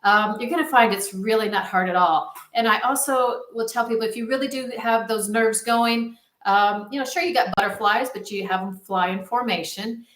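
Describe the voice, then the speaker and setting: A woman's voice: on the high side, moderate pace, few pauses, fairly bright tone. A woman giving a casual, rambling promotional talk as a supportive phone marketing coach, speaking informally. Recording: roomy, echoing sound.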